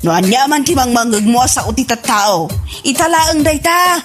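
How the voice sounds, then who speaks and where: A woman's voice: high-pitched, lively intonation, moderate pace, music in the background. A woman with an Ilocano accent playing a brash, scolding auntie in a comedy radio drama, argumentative and exclamatory. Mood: scornful, puzzled.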